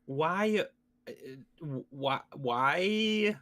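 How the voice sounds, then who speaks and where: A man's voice: a little high, lively intonation, extremely slow, fairly bright tone. A man hosting a gaming podcast, talking casually and conversationally with his co-hosts. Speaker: podcast host.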